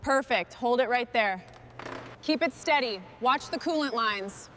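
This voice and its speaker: A woman's voice: fairly high pitch, lively intonation, measured pace. A woman playing a determined mechanic who leads a machinery crew, speaking in terse, focused muttering and commands. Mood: determined, commanding. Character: determined hands-on female mechanic leading a heavy machinery restoration crew. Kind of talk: scripted workplace dialogue with muttering and commands. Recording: loud machinery and vehicle noise behind.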